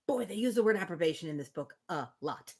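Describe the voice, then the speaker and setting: A woman's voice: fairly low pitch, expressive, fast talker, a little mellow. A woman reading a classic novel aloud expressively, breaking off for casual, chatty asides. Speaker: live book reader.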